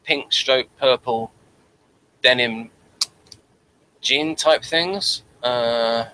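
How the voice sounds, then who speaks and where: Bright, forceful man chatting haltingly. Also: medium pitch, clear, resonant tone, poor audio. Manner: halting, repeats words and trails off mid-thought. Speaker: podcast co-host reviewing a TV series. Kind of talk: casual unscripted review chat.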